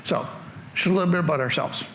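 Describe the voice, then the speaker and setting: Middle-aged Canadian man, easygoing tech speaker, a touch higher-pitched, faint room hum behind. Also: fast, fairly bright tone, poor audio. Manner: casual, joking, self-deprecating. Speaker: conference presenter. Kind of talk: tech conference talk. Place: large conference hall.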